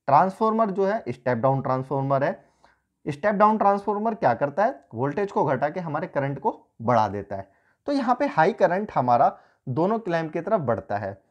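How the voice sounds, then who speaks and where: A man in his thirties teaches an engineering topic, talking through each step as he sketches on a whiteboard. His voice swings widely up and down in pitch. He is picked up by a camera a few feet away in a small room. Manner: explains step by step, pointing and drawing while talking. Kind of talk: whiteboard lecture.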